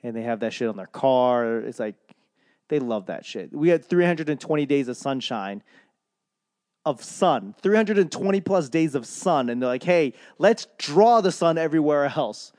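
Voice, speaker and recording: A young comedian from Boston giving a casual, profane, rambling rant in a solo monologue. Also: male voice, mid-range voice, expressive, moderate pace, a little mellow, dry recording, clean studio sound. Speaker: comedian and podcaster.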